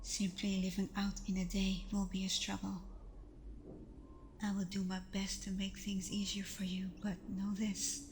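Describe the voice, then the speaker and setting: A woman playing a protective, anguished vampire girlfriend in an ASMR roleplay, speaking in a soft, breathy half-whisper with earnest pauses. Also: medium pitch, monotone, a little hiss or hum, natural voice. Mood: solemn, reluctant. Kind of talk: ASMR roleplay, close intimate acting.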